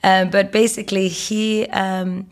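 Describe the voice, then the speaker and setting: A woman's voice: mid-range voice, level tone, a little slow. A woman in her forties from Egypt, a fitness educator who talks as an interview guest, explaining fluently with frequent um and uh. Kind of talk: interview conversation.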